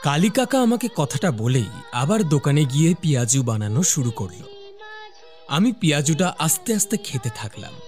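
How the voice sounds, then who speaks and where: Guy playing curious young office worker telling a spooky cartoon story, very animated pitch, light music under. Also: normal speed. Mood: storytelling. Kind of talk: scripted first-person narration with acted dialogue.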